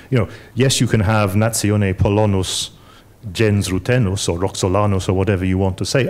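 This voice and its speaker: Man, low-pitched, lecturing. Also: a little mellow. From Scotland. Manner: lecturing, digressive, emphatic. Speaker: panelist historian. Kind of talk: academic panel discussion.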